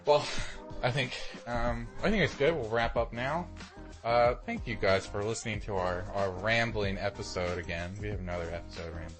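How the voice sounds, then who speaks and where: A man's voice: on the low side, normal speed, faint music behind. A man co-hosting a podcast in a casual, rambling, informal way. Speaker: podcast co-host.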